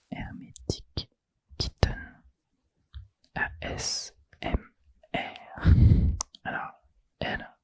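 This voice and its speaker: Young man, soft whisper, dry close sound, slangy casual ASMR talk, self-mocking. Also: no room sound. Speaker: ASMR YouTuber.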